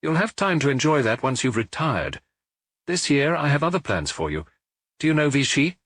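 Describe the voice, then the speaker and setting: A man voicing a brisk, frank family doctor who reassures his friend in an audiobook. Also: fairly high pitch, big pitch swings, a bit bright, no echo, studio quality, pitched above the performer's own voice, exaggerated voice. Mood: firm. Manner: brisk, reassuring, lightly teasing.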